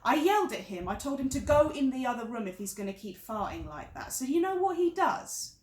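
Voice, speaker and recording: A woman's voice: high-pitched, big pitch swings, fairly quick, a little mellow. Young actress doing a fed up wife in her 30s ranting to her friend, stagey and emphatic, roomy echo. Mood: exasperated, indignant. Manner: dramatic, emphatic, repeats phrases for effect. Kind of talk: stage audition monologue.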